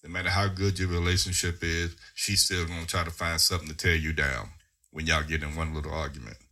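Deep-voiced teasing podcast co-host man. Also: fairly even intonation, pushed and bright. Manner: teasing, drawn-out talk. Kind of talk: casual group chat.

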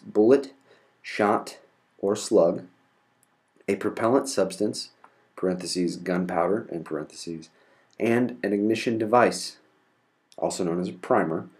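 A man's voice: deep voice, a little mellow. A man hosting a podcast and explaining basics step by step, congested from a cold. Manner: methodical, defines terms step by step. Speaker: podcast host.

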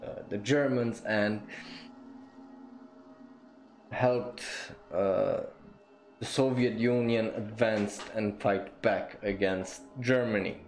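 A man with a low, gravelly voice talks through history at an unhurried pace, circling back with fillers and false starts and swearing now and then. Faint music plays underneath as he hosts his podcast. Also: fairly even intonation, a little mellow, low-quality recording. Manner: rambling, many fillers and restarts, blunt swearing. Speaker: podcast host. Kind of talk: casual history talk.

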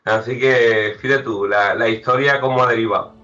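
A man tells a casual anecdote and acts out the dialogue with lots of energy. His voice is bright and forceful at a medium pitch. The recording sounds a little dull and lo-fi. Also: normal speed, soft background music. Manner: animated storyteller who acts out dialogue. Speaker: guest.

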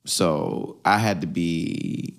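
A young man from Virginia co-hosting a podcast, talking in a relaxed, loose way full of "you know" fillers. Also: deep voice, drawn-out words. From Hampton, Virginia. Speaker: podcast co-host. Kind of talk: casual conversation.

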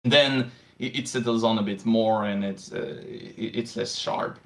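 A man's voice: on the low side, fairly even intonation, a little slow, a bit bright. A man answering interview questions as a game designer, hesitant, with many fillers and restarts. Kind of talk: casual podcast interview.

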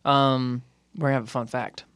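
A man's voice: medium pitch, little pitch movement, normal speed, fairly bright tone, smooth, dry recording, studio quality. A man with an American accent hosting a podcast and chatting in a loose, informal, conversational way. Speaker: podcast host and interviewer. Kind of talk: casual podcast chat.

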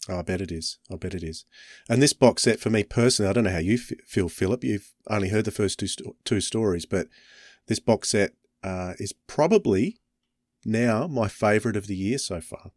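A man's voice: on the low side, a little fast, darkish tone, no echo, clean studio sound. A man co-hosting a podcast, asking questions in a casual conversational way. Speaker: podcast co-host interviewer. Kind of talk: casual interview chat.

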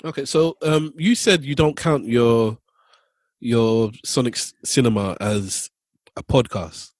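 A man co-hosting a podcast who rambles through his questions and circles back over his own words. His voice is slightly husky, and the recording is dry and close. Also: medium pitch, slow, a little mellow, no room sound. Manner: rambling, repeats himself. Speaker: podcast co-host. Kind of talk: casual chat.

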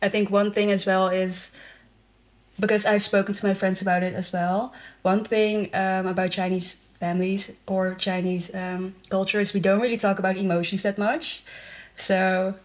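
A young woman chats informally as an interview guest. Her voice sits at a medium pitch with little rise and fall, and she thinks aloud, tentatively working toward her answers. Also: level tone, normal speed, low-quality recording. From the Netherlands. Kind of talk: casual chat.

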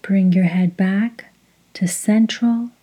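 Female meditation guide, very soft and dark tone, breathy, measured instructional delivery. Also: medium pitch. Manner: measured, instructional, pausing between short phrases. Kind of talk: slow guided meditation with recitation.

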